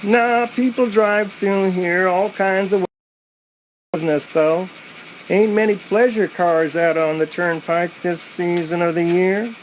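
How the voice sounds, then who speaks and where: An actor playing a folksy country gas station attendant in a radio play, talking in a colloquial, drawn-out way. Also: male voice, high voice, fairly even intonation, a little hiss or hum, poor audio. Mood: folksy, matter-of-fact.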